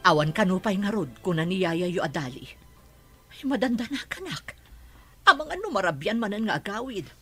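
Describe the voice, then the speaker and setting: A woman playing a worried, protective middle-aged mother in a Filipino radio drama, fretful and expressive. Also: mid-range voice, brisk, soft background music.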